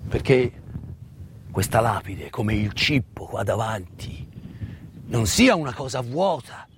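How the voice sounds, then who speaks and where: A man delivers a theatrical monologue as a storyteller, solemn and emphatic, with deliberate pauses and repetitions. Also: medium pitch, very animated, a little hiss or hum. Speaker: stage actor and storyteller.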